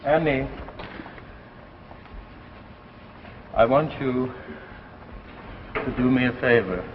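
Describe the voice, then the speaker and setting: An actor playing an anxious, earnest husband in a 1950s radio drama, halting and desperate. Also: male voice, mid-range voice, animated, a little hiss or hum, close to an everyday voice. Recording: dull old radio recording, faint music.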